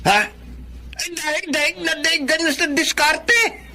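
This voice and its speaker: High, forceful scolding father. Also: moderate pace, exaggerated voice. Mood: defensive, angry. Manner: scolding, defensive. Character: irresponsible, reproachful father of grown son. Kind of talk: Tagalog radio drama acting.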